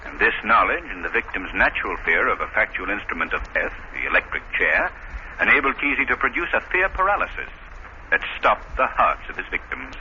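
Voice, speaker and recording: A muffled old radio drama voice, intensely bright and expressive. an assured gentleman detective with measured, declamatory delivery. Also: lowish voice, heavily acted. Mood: assured, explanatory. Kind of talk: 1930s radio acting. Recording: muffled, noisy 1930s radio recording.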